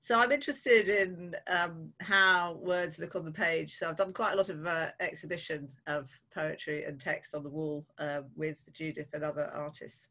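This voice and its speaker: Bright-voiced woman poet and academic. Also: sits low, fairly even intonation, normal speed, few pauses. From Yorkshire. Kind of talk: festival introduction over video call.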